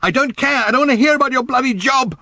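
Shrill, screaming teenage girl. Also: fast talker, dry recording, exaggerated voice. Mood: furious. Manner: shouting, shrill, frantic. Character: wild, anguished, screaming angry teenage daughter.

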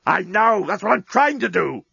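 A man's voice: high-pitched, very animated, normal speed, gravelly, pushed and bright, breathy edge, no echo, highs cut off, voice pushed up, heavily acted. A man voicing an old, indignant male genius inventor who blusters and strains. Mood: strained.

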